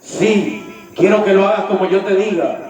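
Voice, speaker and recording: A man's voice: high-pitched, steady tone, moderate pace, darkish tone, voice pushed down, natural voice. A man preaching voices God as firm and insistent, giving commands to his servant. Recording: very echoey hall, distant mic.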